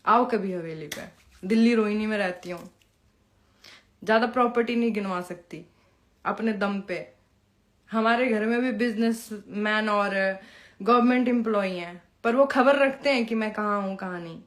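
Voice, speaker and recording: A woman's voice: medium pitch, normal speed. A young woman in an informal live-stream monologue, confrontational and taunting, mixing in English phrases. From Bihar, India. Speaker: Bhojpuri performer addressing followers on a live stream.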